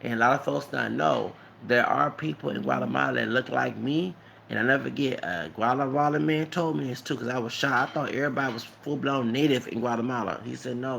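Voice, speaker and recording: Gravelly-voiced man rambling on a vlog. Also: medium pitch, fairly bright tone, slight background noise. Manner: rambling, repeats words, frequent "okay?" tag, switches into Spanish. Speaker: vlogger. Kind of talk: casual storytelling monologue.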